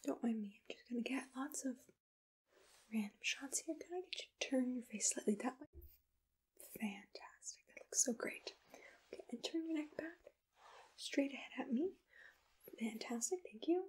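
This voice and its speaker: A woman whispering breathily in a soft ASMR roleplay, with a gentle, reassuring, attentive manner, as if giving personal attention to a client. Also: high-pitched, normal speed, pauses often, breathy edge. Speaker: ASMR roleplay host as a monster matchmaker.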